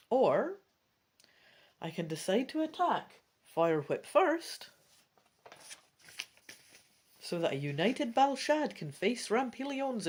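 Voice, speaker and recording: A woman's voice: medium pitch, a little mellow. A woman reading a fantasy gamebook aloud and talking through her choices as she plays. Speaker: gamebook player and reader. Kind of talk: dramatic storybook reading with casual commentary.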